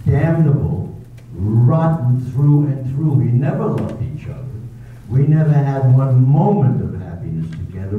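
Man acting as a grave, slow-spoken husband full of guilt, tinny distant echoey recording. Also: medium pitch, close to an everyday voice. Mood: bitter. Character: tormented husband confessing a killing, middle-aged man. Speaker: amateur theater actor. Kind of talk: 1930s-style radio drama acting. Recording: distant, echoey, tinny phone-like sound with faint noise.

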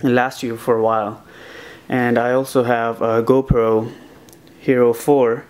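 A man's voice: mid-range voice, measured pace. A young man casually showing his helmet gear in a home office, rambling with lots of uh and um fillers. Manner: relaxed, rambling with many uh and um fillers. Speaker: motovlogger. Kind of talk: casual vlog, gear walkthrough. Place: small home office, indoors.

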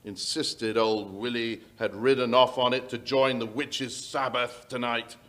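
A man's voice: mid-range voice, little pitch movement, unhurried, fairly bright tone, lightly acted. A middle-aged man playing a worried, polite aristocratic landowner, telling his tale hesitantly in old-time radio style. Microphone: shared stage microphone.

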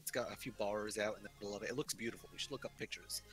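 A man's voice: lowish voice, steady tone, very fast, a bit bright. A young man chatting casually and telling personal stories while playing an online game. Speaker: player in an online game session. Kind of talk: casual chat and storytelling while gaming. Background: music.

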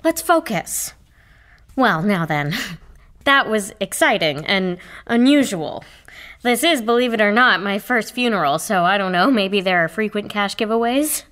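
A nervous reverend leading a funeral that keeps falling apart, her voice a little high. She is polite and flustered, trying hard to keep everyone in order in this audio drama. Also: fairly bright tone. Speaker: Reverend Sandy, officiant at the funeral. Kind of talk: audio play acting.

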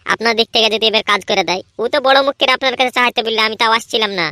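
A woman's voice: a little high, racing, pushed and bright, no echo, clean studio sound. A woman voicing a boastful young village lad in a comedy cartoon, with colloquial, argumentative speech. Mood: wheedling. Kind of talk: Bengali comedy cartoon voice acting.

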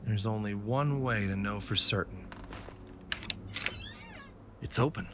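A man's voice: lowish voice, takes it slowly. A man playing an adventurous, stubborn and sentimental fox hero in an audio drama. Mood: determined. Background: dramatic background music.

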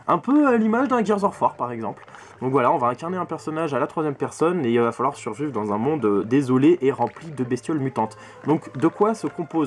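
A man's voice: medium pitch, a little hiss or hum. A young man talking casually and emphatically to camera as he presents his video game collection, recorded at arm's length in a bedroom. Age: young adult. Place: small bedroom full of games, indoors. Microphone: camera mic at arm's length.

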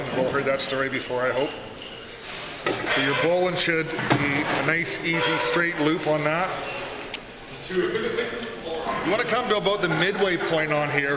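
A man giving a hands-on tutorial as an instructor, explaining step by step. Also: fairly high pitch. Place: workshop with concrete floor, indoors. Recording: echoey room, loud background noise, clicks.

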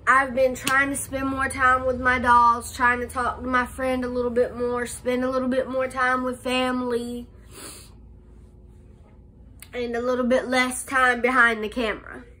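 Nasal, slow-talking young woman with a cold. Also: high-pitched, little pitch movement, pushed and bright, a little hiss or hum. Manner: slow, halting, many "um"s and restarts. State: nasal from a cold, slight cough. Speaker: vlogger. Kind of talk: casual life update vlog. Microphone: phone camera held close.

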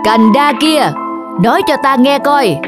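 Cartoon folk tale character, high-pitched, over clear music. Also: female voice, measured pace. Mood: stern. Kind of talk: animated folk tale dubbing.

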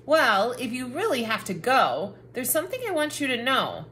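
A woman's voice: on the high side, very animated, moderate pace, fairly bright tone, slight background noise. A woman voicing a grumpy, dramatic talking book. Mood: softening. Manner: bossy, dramatic exclamations. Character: cranky, grumpy talking picture book, bossy and easily exasperated. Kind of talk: children's picture book read-aloud.

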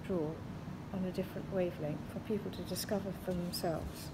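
Woman, 50s, artist, thoughtful casual explanation, print studio, loud background noise. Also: lowish voice, fairly even intonation. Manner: thoughtful, unhurried, with fillers. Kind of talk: casual explanation of her artwork. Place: large print studio with skylights.

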